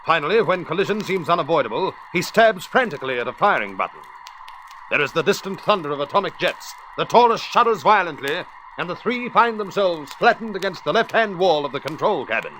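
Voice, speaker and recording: A man's voice: on the high side, sing-song, brisk, pushed and bright, a little hiss or hum, low-quality recording. A man narrating an adventure serial in a dramatic, urgent old-time radio announcer style.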